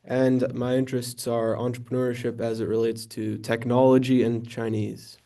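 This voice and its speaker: A man's voice: mid-range voice, little pitch movement, moderate pace, creaky, warm tone. A teenage young man from California introducing himself as a student panelist. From California, United States. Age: teens.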